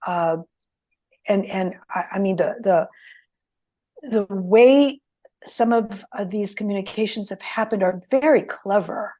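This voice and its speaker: Woman, first language Greek, unhurried speech, reflective, halting, interview guest. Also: medium pitch, warm tone. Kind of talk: interview conversation.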